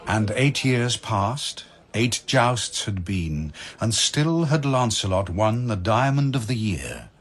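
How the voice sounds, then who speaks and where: A man narrating dramatic verse as a solemn, measured storyteller. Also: lowish voice, husky.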